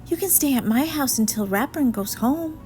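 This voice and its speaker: Female reader as teasing schoolchild, cheeky storybook classmate, very lively intonation. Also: high-pitched, moderate pace, voice pushed up. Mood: cheeky, envious. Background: music.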